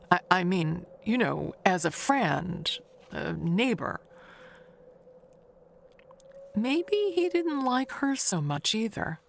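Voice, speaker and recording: An actress performs an older woman neighbor telling a mystery tale in an audio drama. She speaks slowly in a lowish voice, confiding and rambling, with a wistful undertone. Noise runs clearly behind her. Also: a bit bright, full character voice. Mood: wistful, evasive. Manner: confiding, rambling, self-correcting.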